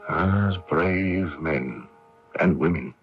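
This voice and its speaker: An actor playing a weary, idealistic imprisoned male patriot in a radio mystery drama, earnest and halting. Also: deep voice, faint music behind. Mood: resigned, gentle. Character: weary, idealistic imprisoned Irish patriot, resigned yet defiant. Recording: dull lo-fi radio recording.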